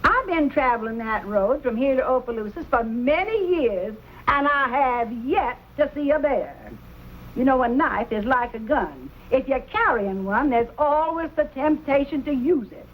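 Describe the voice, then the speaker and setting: Middle-aged frontier mother, high voice, fond yet firm, plainspoken, dull old drama recording. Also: expressive, moderate pace. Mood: firm, chiding. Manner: chiding, plainspoken. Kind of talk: old-time western drama acting.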